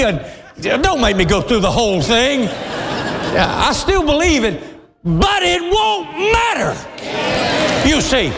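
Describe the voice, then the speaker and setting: A middle-aged pastor preaching a sermon with passion, often shouting and laughing as he speaks. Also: very high voice, creaky, noisy background. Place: church sanctuary. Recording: long hall reverb.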